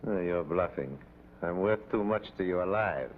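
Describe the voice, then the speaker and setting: An actor playing a smug, calculating hired assassin in a 1950s TV drama, speaking in a formal, haughty, precise way. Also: male voice, low voice, normal speed, close to an everyday voice. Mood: bargaining. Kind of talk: 1950s television drama acting. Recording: dull, noisy old TV soundtrack.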